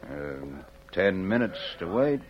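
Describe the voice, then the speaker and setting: An actor playing a shifty, stammering moneylender villain with a husky voice in an old radio western. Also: male voice, sits low, very animated, slow, full character voice. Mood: smug. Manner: hesitant, stammering. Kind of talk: 1940s radio western acting. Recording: dull old radio recording.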